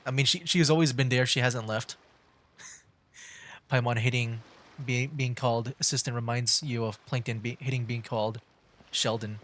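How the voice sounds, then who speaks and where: A man's voice: mid-range voice, steady tone, normal speed, pauses often, fairly bright tone. A man doing casual, rambling livestream commentary on a game, sometimes reading text aloud. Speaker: livestream gamer, VTuber. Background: game music.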